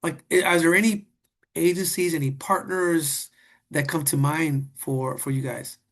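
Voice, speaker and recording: Higher-voiced man moderating a panel. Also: fairly even intonation, measured pace, fairly bright tone. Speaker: webinar moderator. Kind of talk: casual panel discussion.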